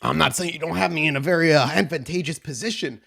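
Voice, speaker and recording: A man's voice: a little high, fast talker, creaky, a bit bright, pitched above the performer's own voice. Man playing a cornered, defiant, stammering captive. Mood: indignant, nervous.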